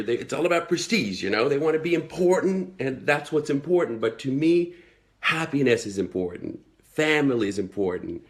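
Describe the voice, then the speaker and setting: A Swedish man in his twenties with an American accent, talking earnestly and motivationally to followers in a live video, with many fillers. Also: medium pitch. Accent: American, learned in the United States. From Sweden. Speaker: network marketing entrepreneur.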